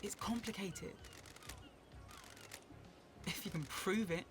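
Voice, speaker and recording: A woman's voice: mid-range voice, takes it slowly, noisy background, lightly acted. A woman voicing a sassy, casual female video game character in short, punchy catchphrases. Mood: offhand. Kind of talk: short stylized game voice barks. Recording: loud music over the voice. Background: loud game music.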